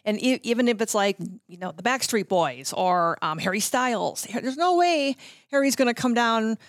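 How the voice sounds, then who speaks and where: A middle-aged American woman reminiscing casually as a podcast guest, telling stories in an animated way and often interrupting herself. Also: on the high side, normal speed, dry recording, studio quality. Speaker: podcast guest, former radio promotions worker.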